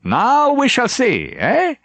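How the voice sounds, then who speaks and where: Man voicing an imperious wartime admiral, pitched up. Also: wildly swooping pitch, takes it slowly, a bit bright, dry recording, heavily acted. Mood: expectant. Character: imperious, theatrical German male admiral in wartime.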